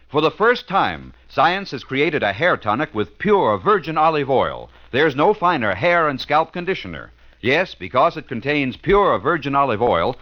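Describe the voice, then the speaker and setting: An American man reading a scripted 1940s radio advertisement with an emphatic salesman delivery. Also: mid-range voice. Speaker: commercial announcer. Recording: muffled old radio recording.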